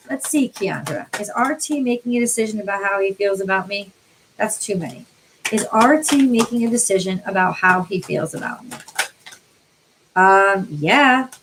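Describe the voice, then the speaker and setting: An American woman from the New York City area reads tarot on a live stream, chatting informally with viewers by name, her voice tiring and getting a little hoarse. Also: on the high side, a bit bright, a little hiss or hum, low-quality recording. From New York City area, USA. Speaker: tarot card reader, livestream host.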